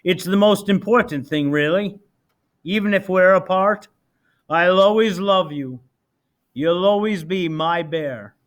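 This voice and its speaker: A man's voice: high-pitched, unhurried. A man voicing a kind young soldier who tenderly reassures his beloved pet bear in a storybook. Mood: tender reassurance.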